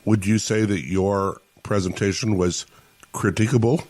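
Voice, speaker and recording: A man co-hosting a podcast, hoarse from a cold, with a squawky voice and a dry, teasing way of chatting. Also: lowish voice, fairly even intonation, measured pace, a little mellow, a little hiss or hum. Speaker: podcast co-host. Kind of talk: casual chat.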